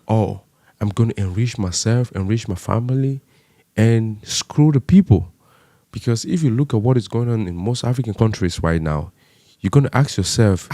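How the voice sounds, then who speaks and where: Young man from Cameroon, vlogger; fervent rhetorical commentary, warm low voice. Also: no echo, clean studio sound. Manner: impassioned, rhetorical questions, rising pitch when stressed. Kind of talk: commentary monologue.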